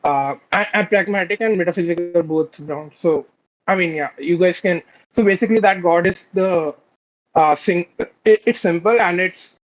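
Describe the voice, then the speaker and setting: A man's voice: sits high, normal speed. A man calling in to a debate show, talking hesitantly with many fillers and restarts. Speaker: caller. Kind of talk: casual debate call. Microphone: video call. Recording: quiet, dull lo-fi call mic.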